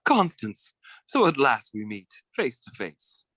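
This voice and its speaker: A man's voice: mid-range voice, very animated, takes it slowly, sharp tone, a little hiss or hum, heavily acted. Man acting swooping, suave scheming suitor. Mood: charming. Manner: suave, theatrical, formal. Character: smooth-talking, scheming suitor courting a woman for money. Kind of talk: old-time radio melodrama acting.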